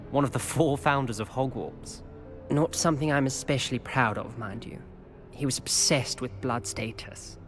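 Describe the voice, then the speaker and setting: A man playing a polite, measured teenage wizard with dry amusement in a video game. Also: medium pitch, a bit bright, close to an everyday voice. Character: wry, courteous teenage schoolboy wizard from old family. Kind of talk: scripted video game dialogue.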